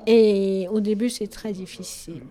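A woman from China speaking French as a second language with a Chinese accent, answering interview questions in a halting way and often correcting herself. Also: mid-range voice, steady tone, warm tone. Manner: halting, searching for words, self-correcting. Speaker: tea shop owner.

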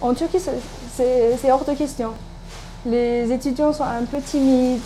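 A woman's voice: high voice, fairly even intonation, unhurried. A woman in her thirties from Turkey, a native Turkish speaker, answering interview questions in a casual, hesitant way with many fillers and restarts. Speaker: interviewee, doctoral student. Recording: background noise.

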